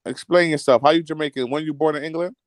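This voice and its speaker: A man hosts a casual group chat and talks fast, teasing and needling the people around him with profane banter. His voice sits fairly high, and the sound is dry and close, with no room echo. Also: steady tone.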